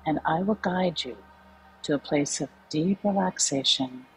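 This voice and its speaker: A woman leading a guided meditation in a calm, soothing, unhurried way with long pauses. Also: sits low, low-quality recording. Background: soft background music.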